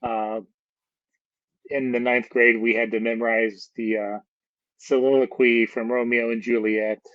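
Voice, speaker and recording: A man's voice: medium pitch, little pitch movement, unhurried, dry recording. A man hosting a casual interview, with a hesitant, loosely phrased way of asking things. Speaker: interview host. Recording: dull, lo-fi, dry sound.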